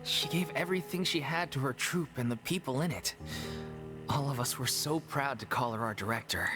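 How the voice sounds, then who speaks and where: A man's voice: mid-range voice, moderate pace, natural voice. A man playing a loyal, sincere young stage actor in an anime-style game, earnest and plain-spoken. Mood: wistful, earnest. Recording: music under the voice.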